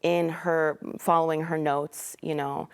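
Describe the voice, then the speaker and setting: A young woman speaks as a guest on a TV studio couch, her voice sitting a little low. She talks thoughtfully and reflectively, filling pauses with "um" and "like". Her voice comes through a clip-on mic. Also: little pitch movement, smooth. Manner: thoughtful, uses fillers like um and like. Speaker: actor guest. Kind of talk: casual interview chat.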